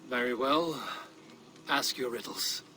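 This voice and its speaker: A man's voice: mid-range voice, slow pace, noisy background, lightly acted. A man playing a proud, blunt Norse warrior in a video game, speaking in terse, formal phrases. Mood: composed, formal. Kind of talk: dramatic video game acting.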